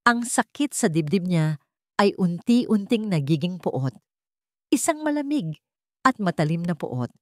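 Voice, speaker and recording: A woman's voice: on the low side, sing-song, a little slow, dry recording, studio quality. A woman with a Filipino accent reading a scripted dramatic story as an audiobook narrator.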